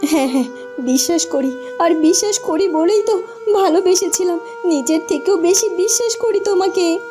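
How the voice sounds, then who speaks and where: A woman's voice: very high-pitched, normal speed, over music. A woman voicing an earnest, emotional young village woman, poor but proud, in a cartoon. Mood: trusting. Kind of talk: cartoon voice acting.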